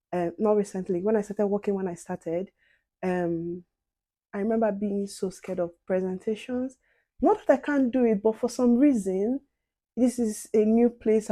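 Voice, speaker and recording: A soft-spoken young woman chatting casually to viewers while doing her makeup. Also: medium pitch, no echo. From outside the UK. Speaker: beauty and advice vlogger.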